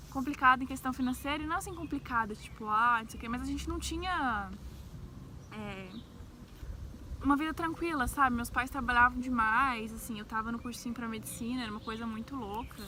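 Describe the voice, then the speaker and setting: A young woman with a central-west Brazilian accent speaks in a fairly high, bright voice. She chats informally like a vlogger sharing her own story, dropping in small tags for agreement. There is faint noise behind her. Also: quick pace. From Brazil, grew up in Brasília. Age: young adult. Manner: informal, peppers speech with tags seeking agreement. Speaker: travel vlogger. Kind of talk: casual, chatty personal storytelling.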